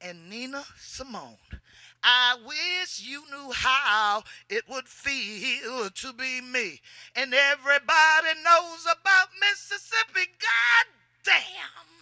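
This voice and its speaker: A middle-aged woman delivers spoken-word poetry in a high, piercingly forceful voice. She is theatrical and declamatory, building refrains like chants. The sound is dry, from a handheld mic outdoors. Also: slow pace, dry recording. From United States, Boston. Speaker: poet, spoken-word performer. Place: outdoor poetry event at a podium in a cemetery. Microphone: handheld microphone held close.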